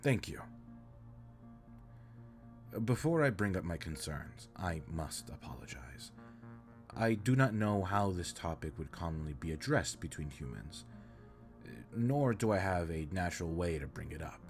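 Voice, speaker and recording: A man's voice: low voice, very animated, measured pace, vocal fry, faint music behind, exaggerated voice. A man playing an earnest, caring alien spaceship captain in an audio roleplay, speaking in stiff, formal, precise sentences. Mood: earnest, reassuring. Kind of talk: scripted roleplay audio drama, addressing the listener.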